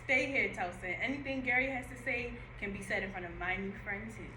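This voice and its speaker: A woman's voice: mid-range voice, fairly quick, roomy sound, noisy background, natural voice. A woman playing a fed-up, sassy shop worker, blunt and impatient, in an audio play read-through.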